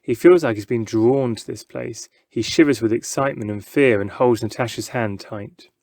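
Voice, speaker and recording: A man's voice: mid-range voice, moderate pace, creaky, darkish tone. A man narrating a children's book aloud from a script, with dramatic storytelling. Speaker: story reader.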